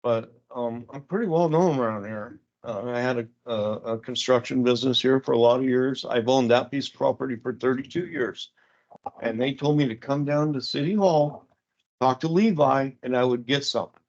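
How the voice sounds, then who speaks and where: A man's voice: medium pitch, normal speed, a little mellow, no echo. An older man, a retired resident, making a blunt, rambling complaint at the public comment microphone of a council meeting. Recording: dull lo-fi council chamber mic.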